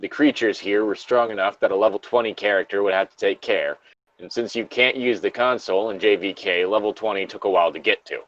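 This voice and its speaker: Guy with a lowish voice reading creepypasta casually, jokey asides, kinda muffled dry mic. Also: fairly quick, fairly bright tone, no echo. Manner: joking asides, stumbles while reading. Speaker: co-host reading stories aloud.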